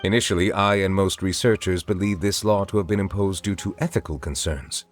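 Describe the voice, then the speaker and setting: A man voices a dignified chief judge in a game, formal and measured. His voice is deep and low, with quiet music under it. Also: close to an everyday voice.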